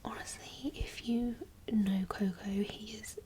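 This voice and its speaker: A young woman whispering softly into a close mic as she chats through her makeup, hesitant and rambling with restarts. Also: a little high, steady tone, measured pace. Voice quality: soft unvoiced whisper. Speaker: beauty vlogger. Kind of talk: whispered ASMR makeup chat.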